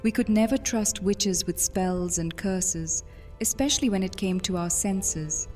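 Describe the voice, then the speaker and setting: An actress playing an ancient vampire mistress and former master thief in an audio drama, speaking in a measured, formal, brooding way. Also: female voice, medium pitch, a little mellow, natural voice. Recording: music under the voice.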